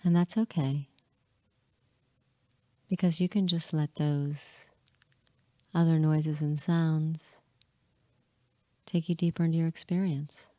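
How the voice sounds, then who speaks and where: A woman leading a hypnotic guided meditation in a soothing, measured way, pausing often between short phrases. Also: low-pitched, fairly even intonation, warm tone, dry recording, clean studio sound. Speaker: hypnotist guiding listeners.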